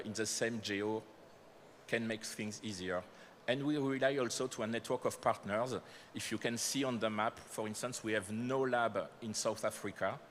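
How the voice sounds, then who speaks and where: A man's voice: fairly low pitch, a little hiss or hum. A man with a French accent giving a conference talk, speaking with frequent fillers and self-corrections. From France. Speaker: corporate speaker presenting startup programs. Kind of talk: conference presentation. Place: conference hall.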